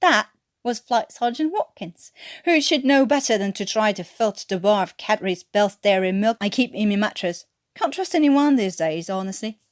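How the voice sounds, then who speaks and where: A woman plays a wily English corporal in a war story. He talks with an English accent and bouncy, animated intonation, nonchalant and teasing, always smugly sure of himself. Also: mid-range voice, normal speed, no room sound, studio quality, lightly acted. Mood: breezy mock innocence.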